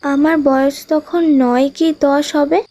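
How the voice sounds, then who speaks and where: A high-voiced invisible girl of about twenty in a cartoon ghost romance. She is gentle, shy and wistful, and talks in an intimate, storytelling way. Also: steady tone, unhurried, dry recording. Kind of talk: Bengali animated love ghost story dialogue.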